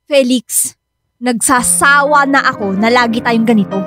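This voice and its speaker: A woman's voice: fairly high pitch, unhurried, music in the background, natural voice. A woman playing a clingy, confrontational young mistress in an emotional radio drama. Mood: hurt, accusing.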